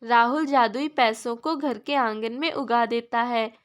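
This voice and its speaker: A woman narrates a children's story from a script. Her voice is somewhat high in pitch, and the delivery is that of a storyteller for cartoon tales. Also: unhurried, a bit bright.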